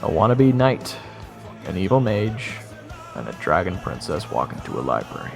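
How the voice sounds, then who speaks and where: A man's voice: deep voice, sing-song, normal speed, creaky, soft background music, exaggerated voice. A man playing a sarcastic, easily exasperated young would-be knight who also narrates his fantasy adventure. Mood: wry narration. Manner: wry, incredulous, casual. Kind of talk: fan audio roleplay with first-person narration.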